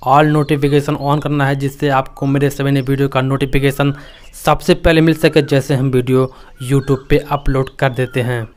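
An Indian man narrating a step-by-step phone tutorial in a repetitive, instructional way. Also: fairly high pitch. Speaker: tech tutorial host.

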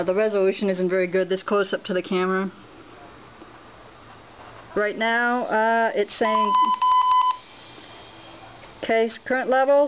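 Halting woman reading out numbers. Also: on the high side, lo-fi sound, slight background noise. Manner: halting, many fillers, reads out numbers. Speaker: home radiation monitoring vlogger. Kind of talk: unscripted on-camera walkthrough. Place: indoors at a home, beside a laptop.

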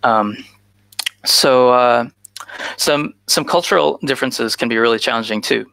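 A man's voice: medium pitch, measured pace, vocal fry, pushed and bright, no echo, poor audio. A man in his thirties from the United States gives a conference talk over a video call on a headset, with frequent "uh" fillers. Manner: frequent "uh" fillers, earnest and informal. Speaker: guest speaker.

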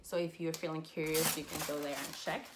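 A chatty young woman raised in Puerto Rico, Spanish her first language, talks through a haul of collectibles in a lively, casual way. Her voice sits a little low for a woman. Faint background noise and small clicks come through a camera mic set at desk distance. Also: a little fast. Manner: chatty and animated, squealing with excitement, frequent laughs. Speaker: collector vlogger. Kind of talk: casual haul video chat. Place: collectibles-filled room indoors.

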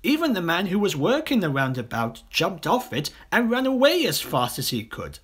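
A man narrating a children's audiobook in an expressive, theatrical storytelling manner. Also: high voice, moderate pace, breathy edge.

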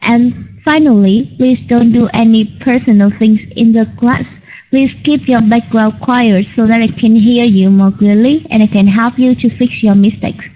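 A woman teaching an online English class, correcting students patiently and clearly. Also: on the high side, fairly even intonation, a little slow, slight background noise. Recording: lo-fi online call audio.

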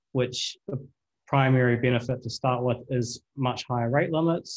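Young male presenter, flat delivery, relaxed, muffled video call sound. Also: medium pitch. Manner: relaxed, some restarts. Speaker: conference presenter. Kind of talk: technical presentation. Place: indoor room. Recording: dull lo-fi video call audio.

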